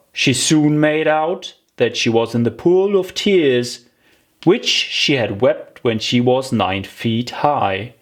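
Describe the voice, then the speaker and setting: Children's audiobook narrator: expressive, animated pitch, unhurried and deliberate scripted reading.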